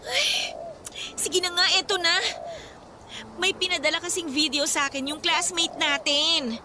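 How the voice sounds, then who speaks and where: A woman's voice: very high voice, measured pace. An actress playing a fretful young female student and loyal friend in a radio drama, hesitant and flustered. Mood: hesitant, worried. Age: young adult. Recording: clear background ambient noise.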